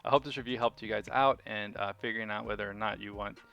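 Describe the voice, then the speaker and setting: A man's voice: lowish voice, fairly even intonation, quick pace, gravelly, a bit bright, muffled recording, soft background music. A man giving casual, unscripted video game commentary, rambling and thinking aloud as host of the review.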